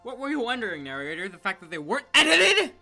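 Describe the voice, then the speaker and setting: A man's voice: very high voice, wildly swooping pitch, fast, gravelly, bright, forceful tone, soft background music, exaggerated voice. A man voicing a theatrical, sarcastic sidekick character in a scripted comedy audio drama. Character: snarky sidekick mascot of the narrator, theatrical and sarcastic.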